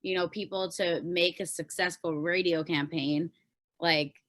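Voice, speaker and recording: A woman's voice: fairly low pitch, steady tone, a little slow, a bit bright. A woman with a Canadian accent talking casually and informally as a guest on a podcast, explaining things as she goes. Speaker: podcast guest, pop singer-songwriter.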